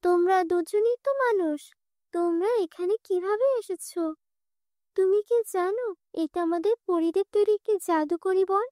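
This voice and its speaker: Woman voicing a high-pitched, commanding, kindly fairy. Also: normal speed, dark tone, no room sound, studio quality. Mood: questioning, gentle authority. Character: graceful female fairy, commanding yet kindly. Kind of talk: children's cartoon dialogue.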